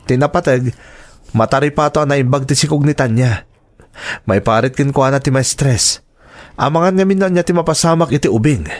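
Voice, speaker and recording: An actor with an Ilocano accent playing a dutiful, caring young husband in a radio drama. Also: male voice, mid-range voice, fairly quick, close to an everyday voice. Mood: pleading, reassuring.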